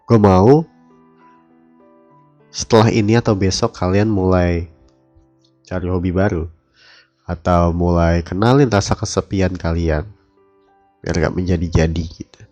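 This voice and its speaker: Low, dark-voiced young man with the flu. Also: steady tone, slow, pauses often, dull audio, faint music behind. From Depok, West Java. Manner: casual, searching for words, heartfelt. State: has the flu. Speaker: radio host. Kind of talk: solo late-night radio talk.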